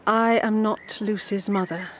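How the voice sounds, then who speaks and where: A woman's voice: mid-range voice, steady tone, unhurried, a little hiss or hum, lightly acted. An actress playing an anxious, guarded middle-aged woman, hesitant and pleading, in a period audio drama. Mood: distressed. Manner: hesitant, pleading, formally polite. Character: anxious, guarded older female guardian keeping a sorrowful secret.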